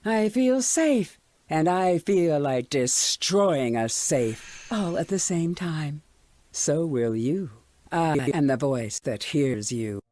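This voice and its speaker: An actor playing an eerily upbeat, controlling radio station announcer, delivering polished, deliberate promos. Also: male voice, on the high side, lively intonation, a little mellow, dry recording. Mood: unsettlingly happy.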